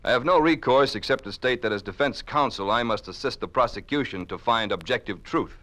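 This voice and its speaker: An actor playing a deferential, servile defense lawyer in an old radio drama, speaking in formal courtroom oratory. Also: male voice, mid-range voice, a little fast, sharp tone, lightly acted. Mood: solemn, accusing. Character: deferential, servile male defense lawyer doing the regime's bidding.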